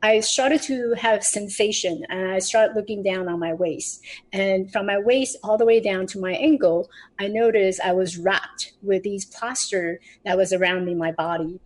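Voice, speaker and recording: A woman's voice: mid-range voice, normal speed, darkish tone, poor audio. A woman in her forties from Taiwan, telling her life story as a podcast guest in an earnest way, with restarts and slight grammar. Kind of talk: personal storytelling.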